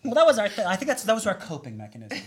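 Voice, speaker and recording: A man's voice: sits high, wildly swooping pitch, racing, a crowd in the background. A man telling a rambling, laughing story about his first job in casual podcast chat. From United States. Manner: rambling, laughing self-deprecation. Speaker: storyteller guest.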